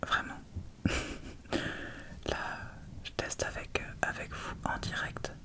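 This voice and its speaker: A woman whispering in a breathy voice, chatting casually and a little hesitantly as she gives an ASMR makeup tutorial step by step. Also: takes it slowly. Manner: hesitant, improvised step-by-step commentary. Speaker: ASMR video creator.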